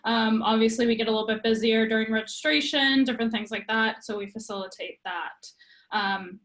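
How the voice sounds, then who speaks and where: Woman, 30s, PEI accent, bright and fairly high, giggly casual interviewee. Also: steady tone, brisk. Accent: Prince Edward Island. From Prince Edward Island, Canada. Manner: giggly, laughs often. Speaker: girls' program coach.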